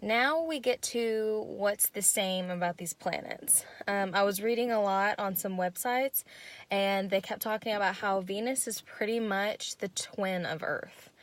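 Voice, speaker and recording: A young woman with a squeaky voice giving a class presentation, working through numbered points with frequent ums. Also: measured pace, no echo. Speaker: student presenter.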